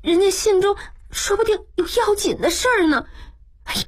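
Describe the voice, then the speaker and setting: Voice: very high-pitched, moderate pace, pitched above the performer's own voice. A narrator voicing an earnest, fretful young maidservant in a plaintive way for an audiobook. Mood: fretful, scolding.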